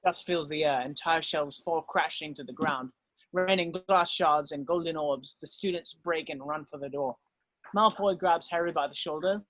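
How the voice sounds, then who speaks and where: A man narrates stage directions from a script. His voice sits a little high for a man, and the sound is dry and free of room echo. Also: moderate pace, a bit bright.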